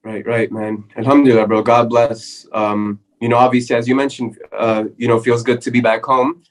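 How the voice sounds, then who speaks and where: A man's voice: medium pitch, flat delivery, moderate pace. A man from the United States hosting a podcast, chatting casually with plenty of slang. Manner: laid-back slang, calls everyone bro. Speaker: podcast host. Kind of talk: casual chat.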